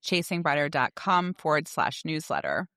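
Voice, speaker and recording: A woman's voice: sits low, normal speed, no echo, studio quality. A middle-aged woman co-hosting a podcast, casually telling family stories and acting out the dialogue. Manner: animated storytelling, acts out dialogue. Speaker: podcast co-host. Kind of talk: casual chatty parenting podcast talk.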